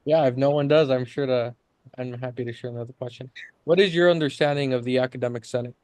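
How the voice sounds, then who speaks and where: Man, committee member, polite, measured, dry close sound. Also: mid-range voice, fairly even intonation, a little mellow, no room sound. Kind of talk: formal questioning.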